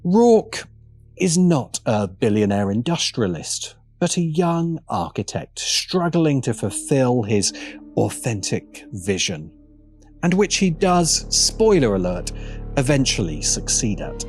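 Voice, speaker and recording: A man reads a scripted video essay in a medium-pitched voice. His intonation moves around a great deal, with faint music behind him. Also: big pitch swings, takes it slowly, breathy edge.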